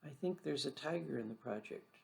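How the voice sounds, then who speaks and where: Storybook role: man as timid small boy, soft, hesitant, wide-eyed, slightly high voice. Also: animated, fairly quick, creaky. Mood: timid, earnest. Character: shy, timid little boy, easily awed. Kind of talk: children's storybook read aloud.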